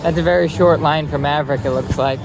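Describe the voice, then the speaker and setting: Male theme-park vlogger, fairly high voice, conversational and unscripted, music clearly audible in the background. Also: steady tone, fast talker, rough recording. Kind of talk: casual chat and walk-through commentary. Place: outdoors in an amusement park. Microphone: phone camera. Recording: outdoor phone recording with music behind.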